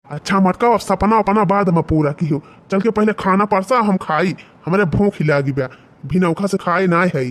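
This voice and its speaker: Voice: mid-range voice, normal speed, lightly acted. A rustic village husband from a cartoon comedy played by a voice actor, speaking with an Awadhi accent. He is plain-spoken and bossy, giving orders in a nagging way. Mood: hungry, impatient.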